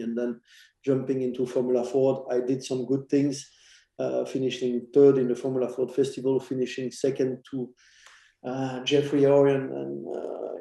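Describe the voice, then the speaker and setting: A middle-aged man with a Belgian accent giving reflective interview answers, haltingly and with restarted phrases. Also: mid-range voice, creaky, warm tone. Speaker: interview guest, former racing driver and team boss. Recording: roomy echo.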